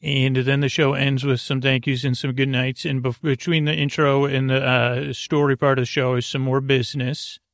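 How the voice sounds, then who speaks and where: A man with a gravelly voice hosting a sleep podcast, talking in a halting, meandering way, stammering and drifting into tangents. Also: medium pitch, little pitch movement, dry recording, studio quality. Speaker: podcast host. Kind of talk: rambling bedtime monologue for a sleep podcast.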